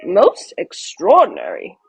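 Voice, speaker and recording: A woman voicing a pompous, puzzled male doctor in a storybook, with a brisk professional manner. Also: lowish voice, wildly swooping pitch, vocal fry, faint music behind, heavily acted. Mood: astonished. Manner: exclaiming, listing, mumbling.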